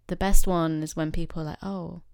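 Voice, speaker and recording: Low, gravelly young English woman. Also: fairly even intonation, normal speed, a little mellow, dry recording, clean studio sound. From England. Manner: reflective, wry, lots of fillers and quoted voices. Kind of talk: casual conversation.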